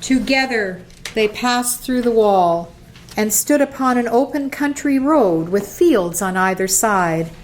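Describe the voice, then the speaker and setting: Slow-paced woman narrating a radio play. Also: on the high side, expressive, slight background noise, rough recording.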